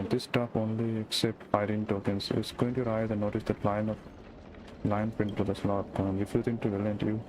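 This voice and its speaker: Lowish-voiced man streaming a game. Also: noisy background. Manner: off-the-cuff reactions, stumbles while reading. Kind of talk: casual playthrough commentary, reading game text aloud.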